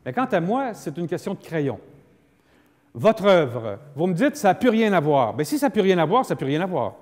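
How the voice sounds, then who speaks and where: A man's voice: high-pitched, big pitch swings, moderate pace, darkish tone. A man with a Quebec French accent lecturing like a lawyer, explaining didactically with emphatic repetition and acted-out examples. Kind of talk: public lecture on law with spoken examples. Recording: long echoing hall reverb.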